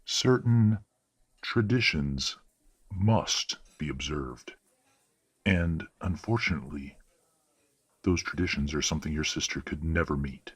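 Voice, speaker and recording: A stern werewolf patriarch, acted by a man for an audio drama. His voice is extremely low and husky. He speaks formally and with measured authority, a courteous father set on tradition. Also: heavily acted. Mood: measured, insistent. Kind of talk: audio roleplay drama acting.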